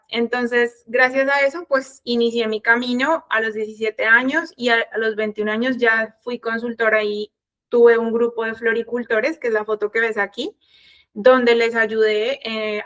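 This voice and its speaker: A woman's voice: fairly high pitch, a bit bright. A woman in her thirties with a Colombian Spanish accent, presenting an online workshop conversationally as a sales coach.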